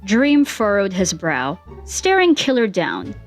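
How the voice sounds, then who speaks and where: Animated woman narrating fan fiction. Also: medium pitch, slow pace, faint music behind.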